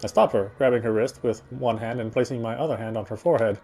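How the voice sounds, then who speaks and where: A man reading visual novel narration aloud in a dramatic, reflective way with deliberate phrasing. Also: mid-range voice, dark tone, breathy edge. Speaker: game streamer reading aloud.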